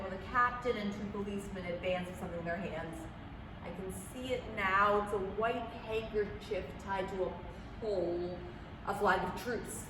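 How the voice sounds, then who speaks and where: An awestruck, dramatic field reporter played by a woman gives a breathless eyewitness account in a radio play. The sound is very echoey and set back from the mic, with clear background noise. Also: mid-range voice, far from the mic, close to an everyday voice. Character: male radio field reporter, awed then panicked eyewitness. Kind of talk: staged reading of 1938 radio drama. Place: public library room, staged reading.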